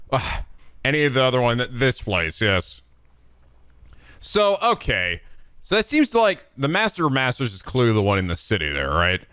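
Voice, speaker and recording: Male let's play host: smooth mid-pitched voice, enthusiastic, rambling, swears freely, close dry mic. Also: fairly bright tone, dry recording. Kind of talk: casual reaction commentary.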